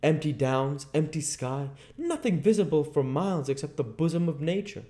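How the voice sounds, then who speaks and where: Storybook tramp, played by a male narrator: high, loud, flustered, cringing, blustering in rustic slang. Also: expressive, moderate pace, close to an everyday voice. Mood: flustered, aggrieved. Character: flabbergasted, frightened, cringing tramp Mister Marvel.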